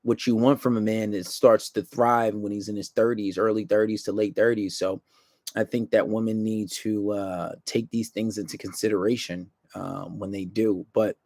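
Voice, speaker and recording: A man's voice: lowish voice, little pitch movement, moderate pace, a little mellow, dry recording, clean studio sound. A man hosting a talk show, speaking casually and bluntly, giving opinions in coarse language.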